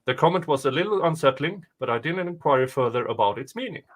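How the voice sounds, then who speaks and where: A man's voice: mid-range voice, lively intonation, fast talker. A man co-hosting a talk show, reading written passages aloud and chatting casually about them. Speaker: podcast co-host reading a document aloud.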